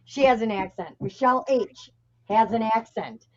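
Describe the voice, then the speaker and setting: A woman's voice: a little high, normal speed, darkish tone. A woman with a Chicago accent chatting casually on a craft stream, dragging out her words and laughing often. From Chicago, USA. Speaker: craft livestream guest. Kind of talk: casual chat. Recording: dull lo-fi stream audio with faint hiss.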